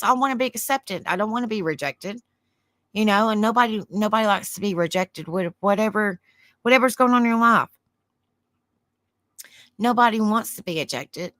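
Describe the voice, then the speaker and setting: Southern lady livestreaming, soft soothing voice, rambly chat, dry room sound. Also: medium pitch, no echo. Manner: chatty and rambling, answers viewer comments. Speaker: livestream host. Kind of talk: casual livestream chat, reading aloud.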